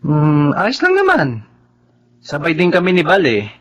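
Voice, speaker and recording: A woman's voice: on the low side, wildly swooping pitch, measured pace, heavily acted. A woman playing a polite, reserved young office worker in a drama, with a Filipino accent.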